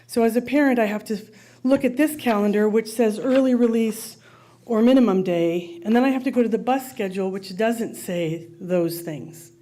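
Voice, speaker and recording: Warm-voiced mother pleading with a board. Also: on the high side, moderate pace. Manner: friendly, conversational, pleading. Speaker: parent speaking at public comment. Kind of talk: unscripted public comment. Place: school board meeting room.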